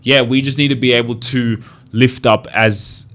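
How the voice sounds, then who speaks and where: A man talking as a guest on a podcast. He speaks in a relaxed, rambling way. He fills his sentences with "like" and "you know.". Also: mid-range voice, moderate pace. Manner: loose and chatty, full of "like" and "you know". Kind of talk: casual conversation.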